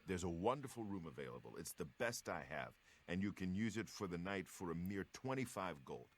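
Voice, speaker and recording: A man's voice: sits low, expressive, fairly quick. An actor playing a polite, obliging male innkeeper in a fantasy video game, speaking in short, courteous sales patter over some background noise. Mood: courteous, inviting. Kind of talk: scripted video game dialogue.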